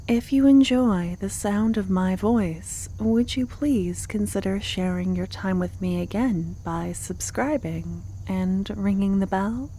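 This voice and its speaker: A woman reading scripted fantasy narration for ASMR in a soft voice. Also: mid-range voice, slow pace, hardly any pauses, a little hiss or hum, low-quality recording. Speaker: ASMR roleplay creator and narrator.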